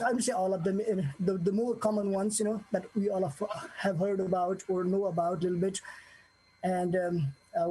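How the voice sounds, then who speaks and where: Hesitant man, soft husky voice. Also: high-pitched, low-quality recording. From Nepal. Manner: hesitant, many fillers and restarts. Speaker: guest sharing a testimony. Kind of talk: unscripted personal testimony.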